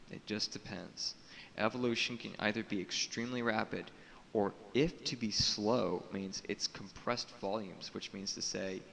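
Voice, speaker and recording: A man's somewhat low voice with a forceful, bright edge, recorded in a reverberant room over audible hiss. He talks as a solo video blogger in a halting, solemn monologue. His phrasing is cryptic, with words repeated for emphasis. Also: creaky. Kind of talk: unscripted spiritual monologue to camera.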